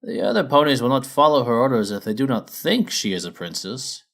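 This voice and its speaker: A man voicing a wise, helpful old male pony from a storybook, with measured, drawn-out emphasis. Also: medium pitch, lively intonation, creaky, fairly bright tone. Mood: encouraging. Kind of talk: storybook character voice.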